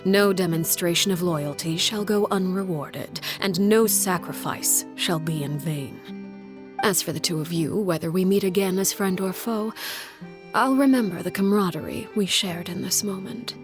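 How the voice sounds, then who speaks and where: An actress playing a stern, coolly menacing leader of a secret crime family in a video game, speaking in a measured, formal, authoritative way. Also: female voice, fairly low pitch, natural voice. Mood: coldly resolute. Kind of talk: dramatic video game voice acting. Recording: background music under the voice.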